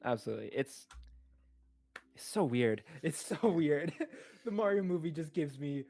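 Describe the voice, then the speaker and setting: A man with a gravelly, husky voice chatting casually as a podcast co-host. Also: on the high side, sing-song, moderate pace, warm tone. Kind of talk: casual chat.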